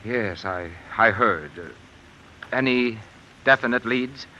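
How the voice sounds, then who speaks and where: Male professor, 1950 radio drama: very sharp tone, anxious, halting, guarded. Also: mid-range voice, muffled recording, a little hiss or hum. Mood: nervous, guarded. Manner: halting, hesitant. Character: anxious, hesitant male university professor hiding guilt. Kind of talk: 1950s radio drama acting. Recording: old 1950 radio broadcast, rain behind.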